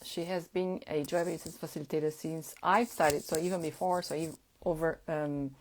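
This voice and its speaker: A woman whose first language is Portuguese, hosting a casual live-stream chat at an unhurried pace, with frequent fillers. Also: low-pitched, steady tone, slight background noise. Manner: unhurried, chatty, many fillers. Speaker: host.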